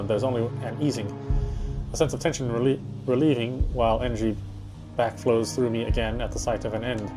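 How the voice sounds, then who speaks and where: Man dramatically narrating, music behind. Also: mid-range voice. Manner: stumbles over words and rereads phrases. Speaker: game player reading aloud. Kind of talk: dramatic read-aloud narration of horror story.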